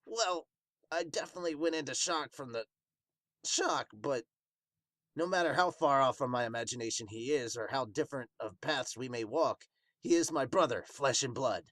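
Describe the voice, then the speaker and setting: A man's voice: mid-range voice, animated, moderate pace, a bit bright, no echo, close to an everyday voice. A man voicing an earnest warrior beast, a devoted big brother, in a formal, dramatic manga dub. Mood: solemn, devoted.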